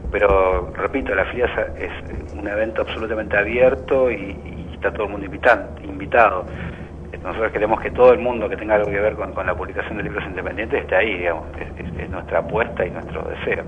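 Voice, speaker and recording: Low-voiced Argentine guest on a dull line. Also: male voice, fairly even intonation, fast, a little hiss or hum. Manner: hesitant, with many restarts. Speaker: book fair organizer guest. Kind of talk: radio interview.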